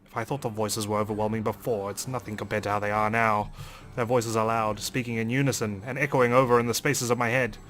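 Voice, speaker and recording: Low-voiced man narrating dramatically. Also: level tone, fast, few pauses, a bit bright. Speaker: let's player. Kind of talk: reading game narration aloud dramatically.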